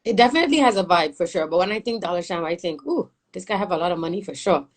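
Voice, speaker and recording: Chatty woman host with a lowish voice. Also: fast. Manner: chatty and animated, laughs often, asks follow-up questions. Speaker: interview host. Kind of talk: casual interview questions.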